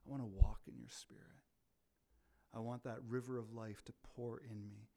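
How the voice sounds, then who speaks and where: A man who leads a ministry, talking about faith in a loud, animated way, often repeating words as he restarts. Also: medium pitch, moderate pace, gravelly. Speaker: ministry leader. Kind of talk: casual faith talk.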